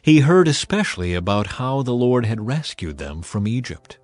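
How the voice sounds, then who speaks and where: A man narrating scripture from a script in a measured, solemn, dramatized style. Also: medium pitch, sing-song, a little mellow, no room sound. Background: music.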